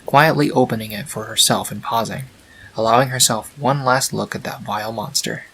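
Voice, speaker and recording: A man narrating a scripted fan-fiction story in a measured, dramatic storytelling manner. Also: medium pitch, a bit bright, breathy edge, low-quality recording. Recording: faint hiss on home recording.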